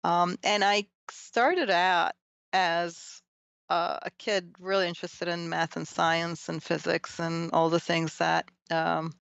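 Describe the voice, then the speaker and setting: A middle-aged woman from Turkey telling her story conversationally as an interview guest, in an animated, tumbling way with self-interruptions and amused asides. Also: fairly low pitch, takes it slowly, vocal fry, clear, resonant tone, dry recording, highs cut off. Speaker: interview guest, technology and society researcher.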